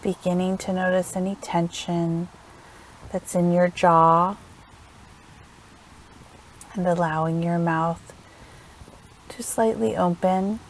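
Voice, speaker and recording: Woman doing guided meditation, really slow and gentle, lowish voice, some background ambience. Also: steady tone, a little hiss or hum, poor audio. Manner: gentle, soothing, unhurried with long pauses.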